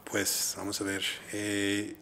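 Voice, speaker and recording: A man's voice: fairly low pitch, monotone, slow pace, gravelly, pushed and bright. A man from Minnesota with an American English accent, middle-aged, speaking Spanish as a second language and answering interview questions hesitantly with many fillers. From United States, Minnesota. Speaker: guest, entrepreneur.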